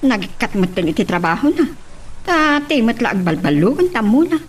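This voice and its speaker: A woman's voice: on the high side, big pitch swings, brisk, husky, far from the mic. A woman playing a nosy, insistent gossiping wife in an Ilocano audio drama. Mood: gossipy.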